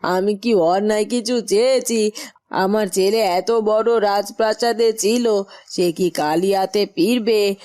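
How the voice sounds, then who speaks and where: A woman's voice: medium pitch, darkish tone, voice pushed down, close to an everyday voice. A woman voicing a greedy, nagging middle-aged village headman's wife in a fairytale cartoon.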